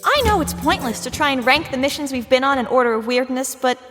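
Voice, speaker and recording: A woman's voice: sits high, animated, quick pace, long reverb tail, over music. A woman playing an eager, chirpy female agent in a comedy audio drama. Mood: upbeat. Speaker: agent Gloria.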